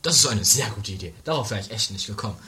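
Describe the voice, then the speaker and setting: A performer voicing a fussy, matter-of-fact companion in a campy improvised horror-comedy radio play, explaining things deliberately. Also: medium pitch, expressive, bright, forceful tone. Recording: noisy homemade 1980s tape recording.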